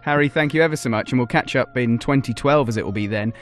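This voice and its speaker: A man hosting a podcast interview, polite and gently guiding the conversation. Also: mid-range voice, fast talker, soft background music. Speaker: podcast host.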